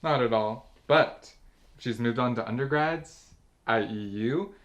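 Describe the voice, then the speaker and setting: A man's voice: medium pitch, measured pace, a bit bright, lo-fi sound, close to an everyday voice. A young man acting a teasing friend in a comedy-drama, with a florid, mock-pompous, theatrical way of talking. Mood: mock solemn. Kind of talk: acted dialogue in a comedy-drama web series. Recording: faint background noise.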